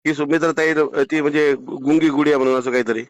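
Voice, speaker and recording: High, fast-talking blunt Marathi man on a call. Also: steady tone, no echo. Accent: Marathi, Maharashtra. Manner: blunt, foul-mouthed, insistent. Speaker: caller making political complaints. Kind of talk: recorded phone conversation.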